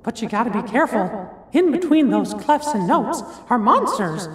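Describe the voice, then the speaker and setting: An actor voicing a small, kindly cartoon mouse sorcerer's apprentice in a video game, squeaky, polite and folksy. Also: sing-song, moderate pace, echoey room, close to an everyday voice. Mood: grateful, cautioning. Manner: folksy, earnest, exclamatory.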